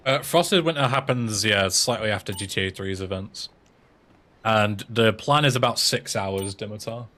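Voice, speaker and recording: A man's voice: on the low side, moderate pace, pushed and bright. A young man in his twenties with an English accent, chatting casually on a livestream and answering viewers' messages aloud. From England. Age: 20s. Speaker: streamer and speedrunner.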